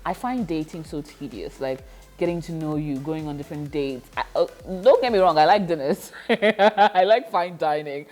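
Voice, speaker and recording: Woman, thirty, lowish voice, reflective vlog talk, frequent laughs, faint music behind. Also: normal speed, a little mellow. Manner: reflective, laughs often, many fillers. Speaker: vlogger. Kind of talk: casual confessional vlog.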